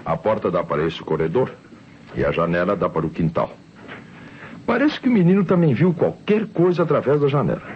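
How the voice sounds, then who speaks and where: An actor playing an excitable Brazilian police officer in an old radio mystery, speaking emphatically and theatrically. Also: male voice, lowish voice, a little fast, lightly acted. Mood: urgent, intrigued. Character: excitable male police officer reporting to his inspector. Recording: muffled, noisy old radio recording.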